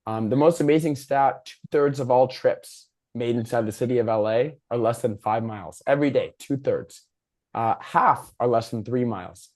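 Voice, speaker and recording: A man with a worn, hoarse voice speaks at an average pitch. He moderates a public discussion over a video call, giving a prepared presentation in an informal way. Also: normal speed, a little mellow. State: hoarse, losing his voice. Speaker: moderator and host of a civic discussion.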